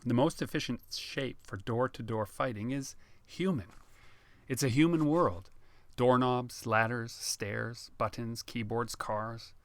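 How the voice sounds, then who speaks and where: A man narrates a novel in a measured, dramatic way, pausing between phrases. His pitch is average for a man. The recording is dry, with almost no room sound. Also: steady tone, dry recording. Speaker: author reading own novel. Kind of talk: audiobook narration of fiction.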